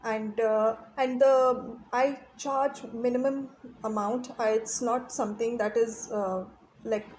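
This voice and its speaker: A middle-aged woman, a guest teacher answering interview questions in an earnest, explanatory way, often pausing to find her words. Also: a little high, fairly even intonation, darkish tone, a little hiss or hum, low-quality recording. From Pakistan. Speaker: guest, English teacher and trainer.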